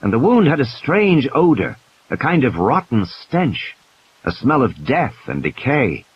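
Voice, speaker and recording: A man's voice: medium pitch, huge pitch swings, a little slow, husky, highs cut off. A man narrating an audiobook play in a dramatic, scripted storytelling style. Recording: hissy, noisy background.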